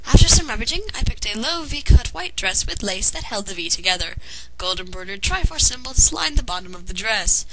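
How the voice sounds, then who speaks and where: A woman reading a fan fiction story aloud as narrator, in a dramatized way. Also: on the high side. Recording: noisy amateur home recording.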